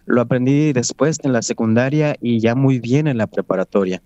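A man's voice: mid-range voice, dark tone, no room sound, muffled recording. Earnest Mexican man, native Nahuatl speaker. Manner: earnest and proud, measured with frequent restarts. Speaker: guest, Nahuatl language coordinator. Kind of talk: interview conversation.

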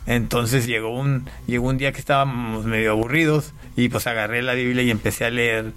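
Pastor guy from panama, talks with lots of restarts and repeats, mid-pitched voice. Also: steady tone, a bit bright, clean tone. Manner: halting, with frequent restarts and repeated words. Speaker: pastor and guest. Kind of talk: conversational testimony.

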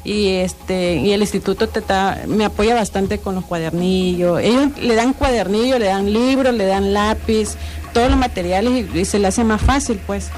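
A woman's voice: medium pitch, moderate pace, darkish tone, faint music behind. Yocotán-woman, hesitant. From Tabasco, Mexico. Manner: hesitant, frequent fillers. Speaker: adult literacy tutor. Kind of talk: radio interview answers.

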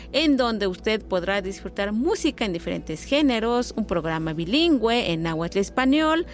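A woman whose first language is Nahuatl hosts a bilingual radio show, talking in an easygoing way with frequent filler words and friendly invitations to listeners. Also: medium pitch. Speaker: radio host.

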